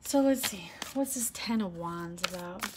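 A woman giving a casual tarot reading to camera, soft-spoken with a low, husky voice that is starting to give out, often asking "okay?"; recorded indoors on a faulty microphone. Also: expressive, a little slow, very noisy. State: losing her voice, clearing throat. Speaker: tarot reader. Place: indoor room, red curtains and candles on a table. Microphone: broken microphone, quiet.

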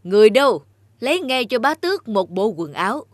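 A woman's voice: a little high, big pitch swings, fairly quick, dry recording, studio quality. A woman voicing a genial, pompous king with a regal manner in a fairy-tale cartoon. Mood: genial, commanding. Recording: music behind.

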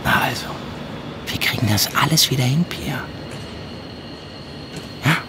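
An actor playing a police handler in a naturalistic audio drama, reassuring yet insistent, often dropping into hushed tones. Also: male voice, medium pitch, measured pace, natural voice. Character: male police handler calming and pressuring his undercover agent. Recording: noisy outdoor ambience with vehicle sounds.

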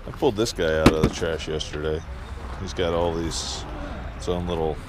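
A man's voice: deep voice, flat delivery, normal speed. A man selling at an outdoor flea market, thinking aloud and chatting casually with customers while filming himself. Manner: rambling, thinking aloud with fillers. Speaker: flea market vendor vlogger. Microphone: body-worn action camera. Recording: outdoor camera audio with loud noise. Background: loud outdoor ambient noise.

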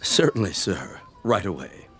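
Low-voiced, obsequious, formal maître d'. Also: male voice, sing-song, measured pace, soft background music. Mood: politely officious. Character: deferential, formal restaurant maître d', polite and flustered. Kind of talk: radio drama acting.

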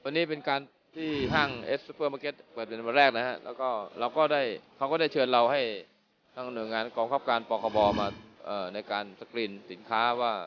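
Halting middle-aged police officer. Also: male voice, mid-range voice, highs cut off, slight background noise. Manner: plain, halting, repeats words. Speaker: consumer protection police officer. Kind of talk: on-the-spot interview. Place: inside a supermarket.

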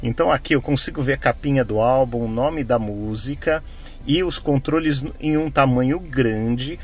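A man's voice: mid-range voice, normal speed. A middle-aged man with a Brazilian accent giving a casual, conversational hands-on demonstration, checking in with frequent tag questions. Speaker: tech vlogger, host. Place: inside a parked car. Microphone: phone camera microphone, no external mic.

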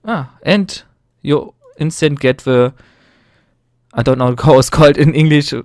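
A man's voice: fairly high pitch, a little slow, a little mellow, dry recording. Young german guy doing his first english tutorial, nervous, lots of ums, clean dry sound. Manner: nervous, hesitant, many fillers and restarts. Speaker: gaming tutorial host. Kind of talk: screen-recorded tutorial narration.

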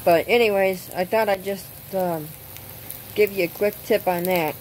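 A woman hosting her own cooking channel, explaining step by step outdoors at a grill, halting often with ums. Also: medium pitch, steady tone, a little mellow, a little hiss or hum, low-quality recording. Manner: unhurried, hesitant with frequent ums. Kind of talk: casual cooking demonstration.

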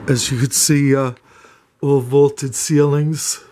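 Flat-voiced man touring his cottage. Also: mid-range voice, slow pace. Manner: hesitant, many "uh" fillers and restarts. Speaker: homeowner giving a house tour. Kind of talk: casual walking house tour. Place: small wood-paneled cottage indoors.

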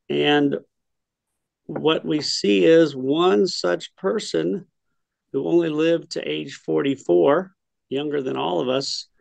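A man's voice: medium pitch, gravelly, no room sound. A middle-aged man teaching an adult history class over a video call, thinking aloud, correcting himself and quizzing his listeners. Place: home study with bookshelves. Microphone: webcam on a video call.

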